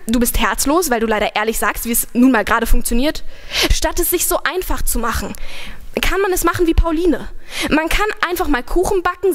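A young woman on a large dark stage, speaking into a stand mic at a poetry slam: chatty and halting at first, then reading her text in an earnest, ironic tone. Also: high-pitched. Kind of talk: spoken word performance.

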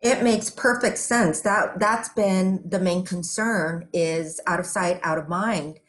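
A woman in her forties hosting a conversational session from home, speaking reflectively in measured, halting phrases. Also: lowish voice. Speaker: session host. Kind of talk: conversational interview on a video call. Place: room at home, indoors.

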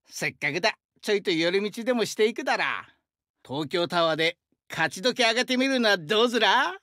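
A male actor voicing a burly, friendly young sumo-wrestler god in an anime game, with rough, hearty speech and a rural Shinshu Japanese dialect. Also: sits high, expressive, a little fast, pushed and bright, dry recording, studio quality. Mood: excited. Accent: rural central Japanese dialect (Shinshu). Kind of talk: anime game character voice lines.

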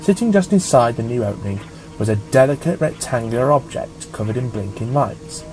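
A man narrating a scripted story as an audiobook reader, with a measured storytelling manner. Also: mid-range voice, lively intonation, darkish tone, faint music behind.

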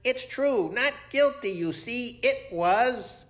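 A man's voice: very high voice, animated, slow, fairly bright tone, voice pushed up, heavily acted. A man voicing an indignant woodland animal from a children's storybook, theatrically protesting its innocence.

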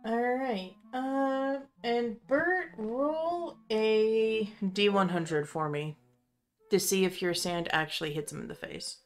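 A woman running a tabletop roleplaying game as game master, narrating with slow, dramatic storytelling. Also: a little high, soft background music.

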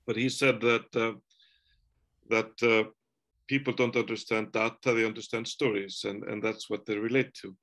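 A man in his forties, a native Icelandic speaker, talking on a video call from a room at home, thoughtful and halting with many restarts. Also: lowish voice, flat delivery, a bit bright. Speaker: author. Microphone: video call webcam.

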